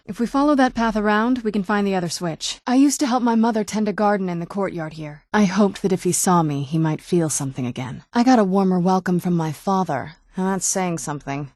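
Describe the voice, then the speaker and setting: A woman's voice: medium pitch, a little mellow, no echo, lightly acted. An actress playing a young-looking, guarded female vampire in a video game, speaking in a dry, earnest, conversational way. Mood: dry, matter-of-fact, then wistful, wry. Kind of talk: video game character dialogue.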